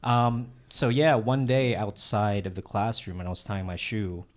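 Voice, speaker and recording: A man with a very low-pitched voice tells a personal story as a podcast guest. He speaks softly and haltingly, often restarting his sentences in a relaxed, casual way. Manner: halting, soft-spoken, many restarts.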